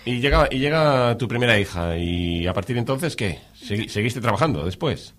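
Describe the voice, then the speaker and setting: A man's voice: mid-range voice, animated, normal speed, fairly bright tone. A man interviewing an older woman for an oral history, prompting her with short questions and frequent backchannels.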